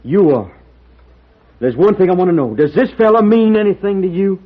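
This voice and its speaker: Casting: hot-tempered young cowboy, raspy, swinging pitch, challenging manner, tinny vintage radio western. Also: male voice, very animated, normal speed. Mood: jealous, agitated. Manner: blustering, challenging. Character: hot-headed, jealous young male gunslinger. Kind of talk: 1950s radio western acting. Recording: tinny, band-limited old radio recording.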